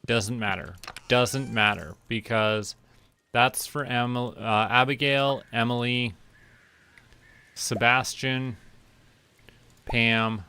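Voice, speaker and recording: A man doing relaxed, casual game commentary, thinking aloud and muttering to himself in an unhurried way. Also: mid-range voice, clear, resonant tone. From Canada. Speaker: game streamer.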